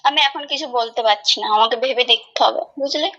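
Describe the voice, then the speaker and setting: A woman's voice: on the high side, quick pace. A young woman chatting casually on the phone, blunt and bantering. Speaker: friend receiving a prank call. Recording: noisy phone call.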